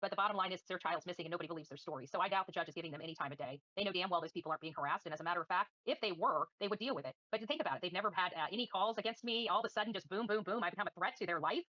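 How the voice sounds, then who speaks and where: A woman's voice: lowish voice, racing, few pauses, pushed and bright, no room sound, highs cut off. A woman livestreaming commentary and answering viewers in a combative, defiant, scornful manner. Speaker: livestream host.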